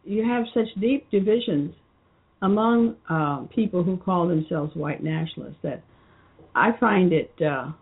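Woman, husky voice, show host, unscripted monologue, halting with fillers, tinny phone-line sound. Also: lowish voice. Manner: halting, many fillers and restarts. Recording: muffled phone-line audio.

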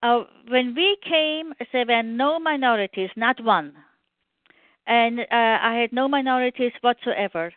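An older woman with a German accent talks as a radio guest, pausing and restarting often. Her voice sits a little high. It comes through a dry, tinny phone line. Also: no room sound. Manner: storytelling with many fillers and restarts. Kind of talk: interview conversation.

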